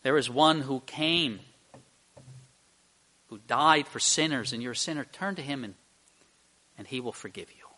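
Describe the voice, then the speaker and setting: A man's voice: medium pitch, measured pace, long pauses, bright, forceful tone. A man preaching an expository sermon as a pastor, speaking earnestly and emphatically, with repeated phrases and rhetorical questions.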